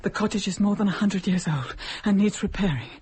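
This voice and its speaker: A woman's voice: mid-range voice, darkish tone, husky. An actress playing a starving young widowed mother from long ago, speaking in anguished, formal monologue in a radio play. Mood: despairing, weary. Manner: anguished, halting, formal old-fashioned storytelling.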